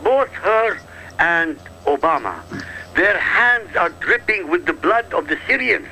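A man from Iraq argues on talk radio in short, punchy, combative bursts. His voice sits high and swings widely, with a gravelly edge and a sharp, bright tone. A faint hiss runs under the line. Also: low-quality recording. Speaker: radio talk show regular. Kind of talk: heated political talk radio.

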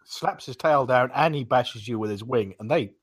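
A man's voice: mid-range voice, normal speed, slightly rough, no echo. A man talking casually and colloquially as a panelist on a gaming podcast. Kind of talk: casual gaming roundtable chat.

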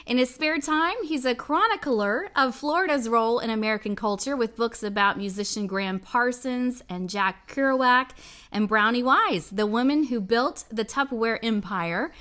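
A woman's voice: fairly high pitch, moderate pace, pushed and bright. A woman hosting a podcast, introducing the show and interviewing as its founder. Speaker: podcast host and network founder.

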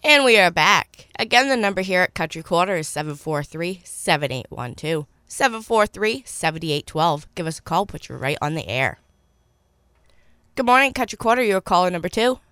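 A woman with a cold hosting a call-in swap show on the radio, reading listings and repeating phone numbers slowly and clearly. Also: on the low side, animated, pushed and bright, dry recording, clean studio sound. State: stuffy from a cold.

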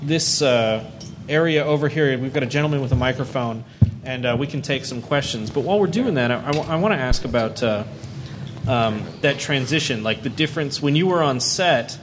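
A man moderating a live convention Q&A panel, easygoing and halting, with many fillers. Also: mid-range voice. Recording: noisy live panel hall.

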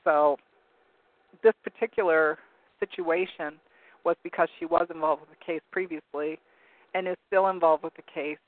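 A hoarse man losing his voice, hosting a call-in talk show in a rambling, casual way while reading from web pages. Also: a little high, fairly even intonation, normal speed, long pauses, no echo. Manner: rambling, searching for words with many ums. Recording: narrow phone-like line, dry.

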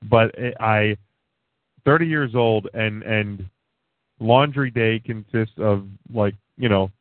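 A man's voice: on the low side, takes it slowly, no echo. A thirty-year-old man rambles through a loose, joking chat on a talk radio show. His voice comes through a thin, phone-like line with no room sound, and he often restarts mid-sentence. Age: 30s. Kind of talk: casual wrestling chat. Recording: tinny phone-like sound, dry.

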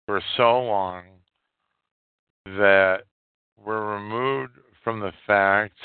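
Slow-talking man on a phone-like line. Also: fairly low pitch, steady tone, clear, resonant tone, dry recording. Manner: halting, repeats phrases for emphasis. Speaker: podcast host. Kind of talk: unscripted solo political commentary. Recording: muffled, tinny phone-like sound.

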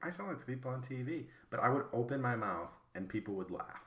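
A man's voice: medium pitch, very animated, quick pace, over a noisy crowd. A man from Wisconsin with a Wisconsin accent, hosting a casual podcast chat and joking as he steers the talk back. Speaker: podcast host. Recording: roomy echo.

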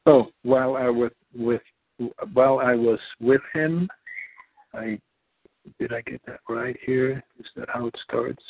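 A male guest whose first language is not English reads aloud slowly and carefully. His voice is a little husky and sits at a middling pitch for a man. It arrives over a dry, narrow, phone-like line. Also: fairly even intonation.

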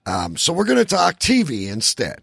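A man's voice: fairly high pitch, wildly swooping pitch, normal speed, creaky, a bit bright, slightly rough, no echo, studio quality. A man hosting a casual radio talk show in a rambling, joking way.

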